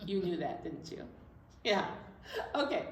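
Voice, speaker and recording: A woman's voice: on the low side, sing-song, a little slow. A woman hosting children's storytime, talking casually and simply to young children. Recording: echoey, distant mic, faint noise.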